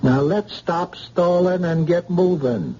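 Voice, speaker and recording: A man's voice: sits high, takes it slowly, warm tone. An actor playing a menacing gangster in an old radio drama, with slangy tough-guy talk. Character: menacing male gangster, cool and threatening. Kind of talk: 1940s radio acting. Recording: dull old radio recording, faint hiss.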